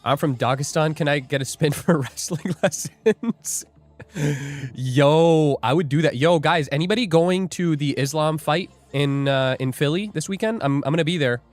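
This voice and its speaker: A young man hosts a live trading stream, joking, rambling and laughing as he reads out his chat. His voice sits a little high for a man. Faint music plays under the talk. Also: normal speed, no room sound. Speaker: livestream day trader host. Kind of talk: casual livestream chat.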